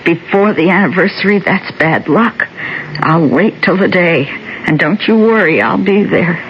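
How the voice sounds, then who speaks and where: Muffled vintage radio drama with faint noise and a frail, devoted elderly wife. She talks very slowly and gently, faltering but hopeful. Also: on the low side, animated. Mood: fond, hopeful. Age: older. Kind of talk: 1970s radio drama acting. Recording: muffled old radio broadcast, faint hiss.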